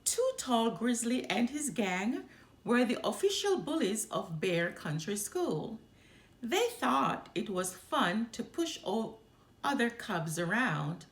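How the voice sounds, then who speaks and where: A woman reads a children's storybook aloud in a highly animated, expressive way. Her delivery is slow and deliberate, with some creak in the voice. The room adds a noticeable echo. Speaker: storytime host reading aloud. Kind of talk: children's picture book read-aloud.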